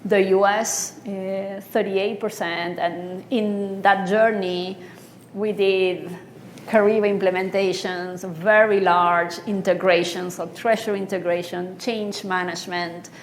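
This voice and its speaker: A woman's voice: medium pitch, fairly even intonation, a little slow, a little hiss or hum. A middle-aged woman from Argentina, a senior treasurer, speaking earnestly about her career on a conference panel, with restarts. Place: conference stage.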